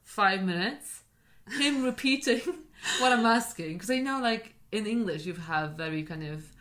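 A woman's voice: medium pitch, expressive, normal speed, a bit bright. A young woman from Poland with a Polish accent, co-hosting a casual chat and jumping between tangents. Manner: scattered, jumps between tangents. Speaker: podcast co-host.

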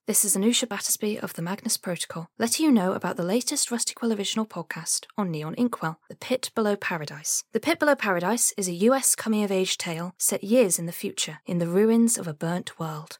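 Female promo narrator, lowish voice, dry recording, scripted. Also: no room sound. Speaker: podcast promo announcer.

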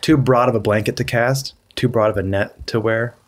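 A man's voice: mid-range voice, fairly even intonation, moderate pace, a little hiss or hum. A man co-hosting a casual podcast chat, teasing his partner in an easygoing way. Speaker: podcast co-host.

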